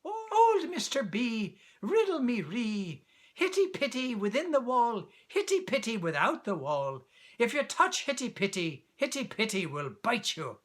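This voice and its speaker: A man's voice: very high-pitched, sing-song, measured pace, a bit bright, pitched above the performer's own voice, heavily acted. A man voicing a cheeky little red squirrel in a children's storybook, chanting riddles in an impertinent, teasing sing-song. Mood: teasing, gleeful.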